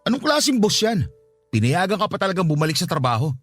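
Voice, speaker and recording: Scolding filipino big brother, high voice, talks fast, sarcastic. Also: male voice, sing-song, exaggerated voice. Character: angry older brother, blunt and reproachful. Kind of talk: Tagalog radio drama acting. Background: soft music underneath.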